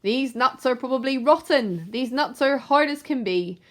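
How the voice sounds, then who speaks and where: A storybook rat highwayman voiced by a woman, greedy and bullying. The delivery is commanding and full of scorn. The pitch leaps about as he blusters through rhyming demands. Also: animated, moderate pace. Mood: bullying, demanding. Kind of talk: rhyming picture-book read-aloud.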